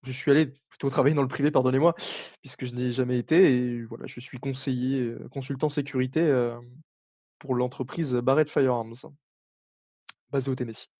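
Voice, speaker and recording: A man voices a former navy commander who went into state politics. He speaks formally yet haltingly, stopping often to gather his words. The recording is muffled and completely dry. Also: mid-range voice, fairly even intonation, dark tone, dry recording, close to an everyday voice. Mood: measured. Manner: formal, hesitant, frequent filler sounds and restarts. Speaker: interview guest. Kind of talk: roleplay interview. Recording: dull dry sound.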